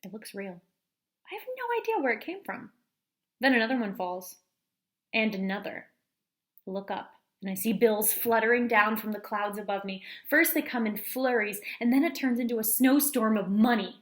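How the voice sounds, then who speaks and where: A woman's voice: fairly high pitch, animated, normal speed, lightly acted. A woman voicing an eager, money-loving eleven-year-old girl who narrates a storybook earnestly and with dramatic emphasis. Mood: dreamy, excited. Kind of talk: storybook first-person narration.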